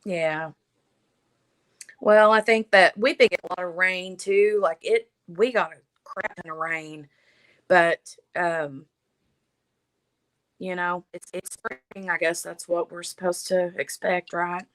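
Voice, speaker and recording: A woman's voice: fairly low pitch, fairly even intonation, slow pace, fairly bright tone, no room sound, rough recording. A woman hosting a casual livestream from home, chatting and laughing and reading viewer comments aloud. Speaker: livestream host. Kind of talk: casual live chat.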